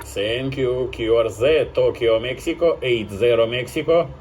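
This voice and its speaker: A man's voice: mid-range voice, measured pace. A man working as an amateur radio operator, making formulaic, courteous contacts with repeated numbers and phonetically spelled call signs. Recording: very noisy radio shack, static and clicks.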